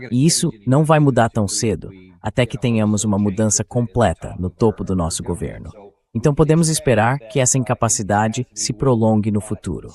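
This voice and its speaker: Lively low synthetic male host voice. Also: fairly quick. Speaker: interview host. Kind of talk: translated interview voice-over, posing questions.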